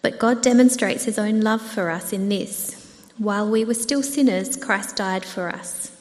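Female scripture reader, medium pitch, measured delivery, long church reverb. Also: a little mellow. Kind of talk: reading scripture aloud. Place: church hall.

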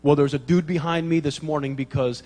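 A man preaching in church, his voice a little higher than most. He tells comic stories and acts out the dialogue as he goes. The sound carries a long church-hall reverb. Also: brisk. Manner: animated storyteller, acts out dialogue, laughs at own jokes. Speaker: pastor. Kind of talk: sermon with humorous stories.

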